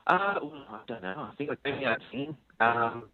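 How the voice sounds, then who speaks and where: A man's voice: medium pitch, expressive, moderate pace, a crowd in the background. A man from Sydney with an Australian accent, a country musician talking in a rambling, hesitant way full of ums. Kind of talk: phone-in radio interview. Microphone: phone line. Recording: tinny phone line, dry.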